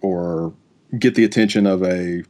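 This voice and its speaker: Low-voiced male podcast host. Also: steady tone, unhurried, darkish tone. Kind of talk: casual interview questioning.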